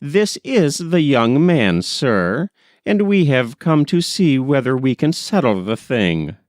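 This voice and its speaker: A hearty middle-aged working man, acted out by a male narrator, speaking up in a raised, lively pitch. He is forthright and decided, a plain man of few words in a storybook scene. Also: slow, dry recording, studio quality. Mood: frank, decided.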